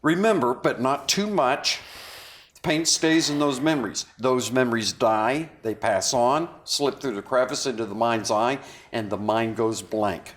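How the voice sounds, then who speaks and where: An actor at a table read playing a remorseful, philosophizing man, with a halting, confessional and earnest manner. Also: mid-range voice, natural voice. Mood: solemn, preaching. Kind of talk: read-through of a stage drama script. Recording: clearly noisy room recording.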